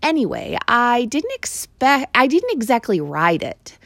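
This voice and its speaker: A woman's voice: fairly high pitch, huge pitch swings, unhurried, a bit bright, no room sound, voice pushed up, heavily acted. A woman voicing a small, brash male mouse who is nervous but bold, in a storybook reading. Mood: boastful.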